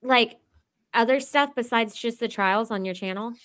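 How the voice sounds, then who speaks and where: Higher-pitched woman in casual chat. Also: normal speed, fairly bright tone, clear, resonant tone. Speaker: stream guest.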